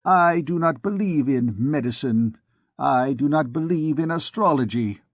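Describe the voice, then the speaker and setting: A man voicing a gloomy, aloof archdeacon who speaks in a cold, measured way. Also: fairly high pitch, no echo, lo-fi sound. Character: gloomy, aloof middle-aged archdeacon scholar. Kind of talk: audiobook character reading.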